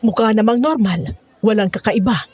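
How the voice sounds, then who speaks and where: A woman's voice: mid-range voice, moderate pace, darkish tone, breathy edge, no room sound, natural voice. A woman with a Filipino accent playing an irritable, dismissive young skeptic in an audio drama. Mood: mocking. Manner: dismissive, argumentative. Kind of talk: Tagalog audio drama acting.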